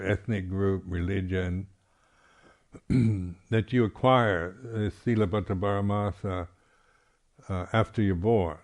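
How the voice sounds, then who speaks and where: A man, a Buddhist monk teacher, giving an unscripted, reflective talk at a meditation retreat, halting and often clearing his throat. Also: sits low, darkish tone.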